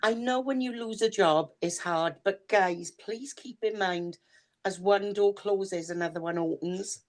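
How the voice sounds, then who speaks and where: A woman's voice: medium pitch, a little slow, a little mellow. A woman with a Welsh accent co-hosting a podcast in a down-to-earth, chatty way, her voice a little worn. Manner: chatty, down-to-earth, speaks straight to listeners. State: voice going hoarse. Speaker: podcast co-host and reader. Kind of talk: casual chat.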